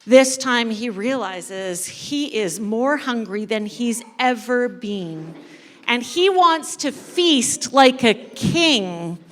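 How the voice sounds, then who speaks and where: In a big reverberant hall, a woman with a high voice tells a story to a live group. She takes her time, drawing out phrases with playful emphasis and leaving pauses as she goes. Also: animated, a bit bright. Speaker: church storyteller leading a live dramatic reading. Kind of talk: animated storytelling to a live audience, with asides to participants. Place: large indoor church room.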